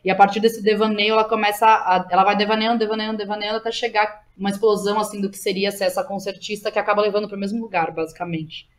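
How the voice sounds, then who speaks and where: A woman's voice: medium pitch, level tone, fast, hardly any pauses. A woman with a Brazilian Portuguese accent talking casually about her own work as an interview guest, informal and slangy, thinking aloud as she goes. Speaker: guest, playwright.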